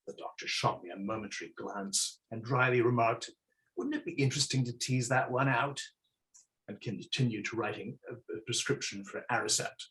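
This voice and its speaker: A man reads aloud from his own book as its author. His voice sits at a medium pitch, and he narrates in a measured, literary way, as memoir rather than conversation. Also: a bit bright, rough recording. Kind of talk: scripted memoir reading aloud.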